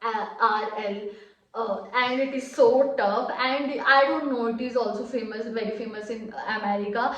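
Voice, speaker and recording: A woman's voice: a little high, fairly even intonation, moderate pace, dull audio. A young Indian woman with an Indian accent giving hesitant, casual reaction commentary full of fillers. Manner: hesitant, many fillers, laughs softly. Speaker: reaction video co-host. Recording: echoey room, distant mic.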